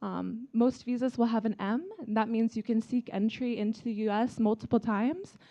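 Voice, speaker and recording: A woman's voice: on the high side, steady tone, moderate pace, dark tone, highs cut off. A young woman with an American accent gives a friendly, informal presentation to students as an embassy official. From China. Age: young adult. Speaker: embassy vice consul presenting. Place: event talk before an audience.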